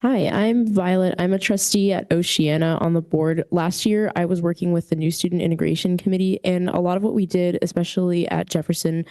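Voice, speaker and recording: A woman serving as a student trustee, giving steady explanatory remarks at a board meeting. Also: on the low side, fairly quick, few pauses. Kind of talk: prepared public comment. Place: district office board room.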